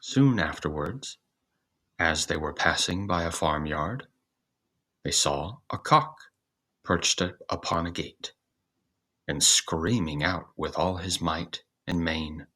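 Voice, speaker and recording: A man's voice: low-pitched, slow, sharp tone. A man reading a fairy tale aloud as scripted narration. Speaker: storyteller, reader.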